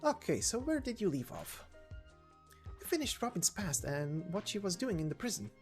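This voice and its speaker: A playful, conversational video game character, acted by a woman. The voice is lifted well above the reader's usual low one and has a light, bantering manner, with soft music behind. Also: sing-song, normal speed, close to an everyday voice. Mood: playful, curious. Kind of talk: voiced visual novel story reading.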